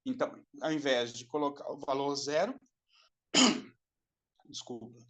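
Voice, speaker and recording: A man teaches an online class at a moderate, everyday pitch. He explains patiently and repeats points, with a dry throat that brings the odd cough. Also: lively intonation, a little slow, fairly bright tone. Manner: explanatory, repeats himself and adds frequent "tá?" checks. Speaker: lecturer. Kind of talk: recorded online class lecture.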